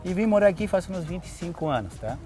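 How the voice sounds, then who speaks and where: A man's voice: high voice, big pitch swings, quick pace. A man from Argentina with an Argentine Spanish accent, a fitness instructor explaining in a friendly way and often ending phrases with "tá?". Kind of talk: TV studio interview and demonstration. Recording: TV studio with background music.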